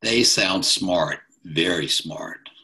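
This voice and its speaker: A man playing a gruff old dad. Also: sits low, slow, sharp tone, exaggerated voice. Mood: dry. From New York. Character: blunt elderly father, gruff and teasing.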